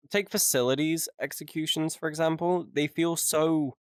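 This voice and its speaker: A man's voice: a little high, fairly even intonation, slow pace, clear, resonant tone, dry recording, studio quality. A man co-hosting a podcast, chatting casually and thinking aloud as he goes. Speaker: podcast co-host. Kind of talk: casual chat.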